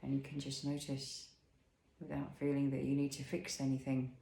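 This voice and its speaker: Creaky-voiced man guiding relaxation, roomy sound. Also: medium pitch, completely flat delivery, a little hiss or hum. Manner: soothing, unhurried, with pauses and gentle questions. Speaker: Pilates instructor leading a guided body scan. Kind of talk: guided relaxation instruction.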